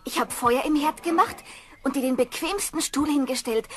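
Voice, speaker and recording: A friendly, easygoing country girl in a fairy-tale radio play. Her voice is extremely high and bright, with a simple, generous, inviting way of talking. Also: normal speed. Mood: friendly, bossy.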